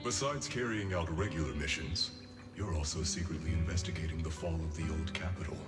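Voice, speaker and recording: An actor playing a big, resolute, quietly weary soldier in a video game, speaking frankly and earnestly. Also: male voice, a bass voice, fairly quick. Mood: wry, candid. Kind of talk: scripted video game drama acting. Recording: echoey, loud music behind.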